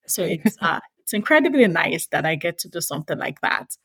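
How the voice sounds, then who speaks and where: A woman's voice: lowish voice, big pitch swings, a little fast, a bit bright, slightly rough, no echo. A woman with a Nigerian accent talking casually as an interview guest. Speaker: podcast guest, data scientist and technical instructor.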